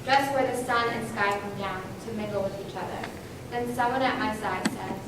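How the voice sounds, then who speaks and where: A woman's voice: mid-range voice, normal speed. A woman giving a staged dramatic recitation as a readers theater performer. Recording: very echoey hall, distant mic, hissy noise.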